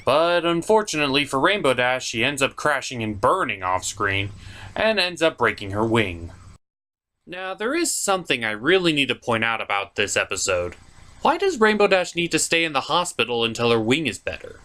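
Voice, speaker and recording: A man's voice: mid-range voice, very animated, normal speed, bright, forceful tone. A man hosting a puppet review show, narrating a scripted comedic cartoon review in a theatrical, mock-exasperated manner.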